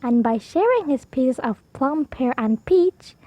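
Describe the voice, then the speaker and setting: A woman with a high voice narrates a rhyming picture book for children. Her delivery is expressive and keeps a measured rhythm, and the sound is dry and close. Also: no echo, muffled recording. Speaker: storybook reader for children. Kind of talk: read-aloud rhyming children's storytime narration.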